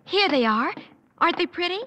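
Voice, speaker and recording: An actress playing a sweet, coaxing teenage daughter in a 1950s radio sitcom. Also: female voice, very high voice, lively intonation, fairly quick, dull audio, background noise. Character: sweet chirpy teenage girl, principal's daughter.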